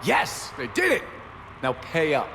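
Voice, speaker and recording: A man's voice: a little high, huge pitch swings, measured pace. An actor playing a friendly, teasing crewman and keen sports fan in a space video game, in casual banter. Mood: upbeat. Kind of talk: casual game dialogue acting. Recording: reverberant room, faint ambient noise.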